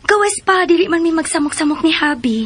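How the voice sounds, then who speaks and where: A young married woman in a Philippine radio drama. Her very high, Cebuano-accented voice is chatty, friendly and affectionate. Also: expressive, quick pace, fairly bright tone. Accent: Cebuano Filipino.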